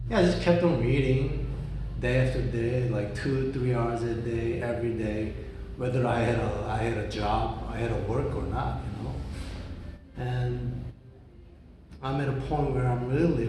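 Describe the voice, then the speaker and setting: Young man whose first language is Korean, unhurried rambling monologue, distant mic in a reverberant room. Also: medium pitch, darkish tone, a little hiss or hum. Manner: rambling, many restarts and fillers like "right?". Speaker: reader talking about books. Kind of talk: casual monologue. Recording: very echoey room, voice from far off.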